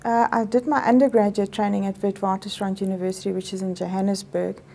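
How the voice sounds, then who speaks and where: A middle-aged South African woman, an Afrikaans speaker, answers in a measured, explanatory way. Her voice is mellow and a little gravelly, recorded in a quiet office set with faint room hiss behind. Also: mid-range voice, level tone. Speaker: medical professor. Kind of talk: interview answers. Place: office interview set, indoors.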